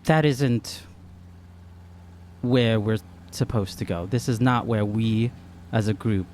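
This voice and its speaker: A man playing a terse, cryptic and insistent adventurer in a tabletop game, speaking gravely and withholding. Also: fairly low pitch, takes it slowly, a little mellow, a little hiss or hum, pitched below the performer's own voice. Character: cryptic, terse, insistent party member named Janice.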